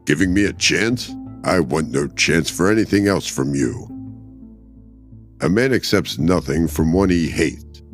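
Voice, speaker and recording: A man acts out a storybook husband, low-pitched and gruff. He is suspicious and full of cold loathing for his wife. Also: lively intonation, a little slow, soft background music. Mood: cold, resentful. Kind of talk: dramatic short story reading.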